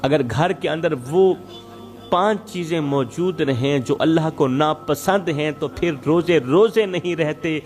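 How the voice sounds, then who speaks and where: A man's voice: fairly high pitch, a little slow, faint music behind. A man delivering an Islamic moral sermon as a preacher and storyteller, speaking emphatically with rhetorical repetition and exhortation.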